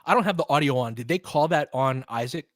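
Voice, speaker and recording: A man doing live basketball play-by-play as an excitable, partisan fan, chatting casually with his viewers. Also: medium pitch, fast talker, no echo, clean studio sound. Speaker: fan play-by-play commentator, streamer.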